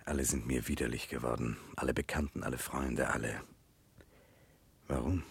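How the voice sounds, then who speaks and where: An actor playing a troubled, guilt-ridden Russian prince in a German radio drama, brooding, earnest and formal. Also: male voice, very deep voice, steady tone, moderate pace, vocal fry, breathy edge, exaggerated voice. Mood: bitter self-reproach.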